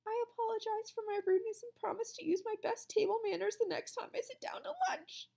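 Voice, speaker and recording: A woman's voice: a little fast, creaky, warm tone. A woman voicing a bewildered, stammering young schoolgirl with a small quavering voice. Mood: timid. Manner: high, quavering.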